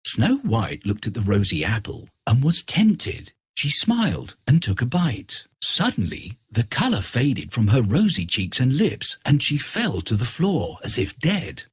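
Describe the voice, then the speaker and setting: A man's voice: mid-range voice, wildly swooping pitch, measured pace, hardly any pauses, slightly rough, no room sound, clean studio sound. A man narrating a scripted fairy tale for children.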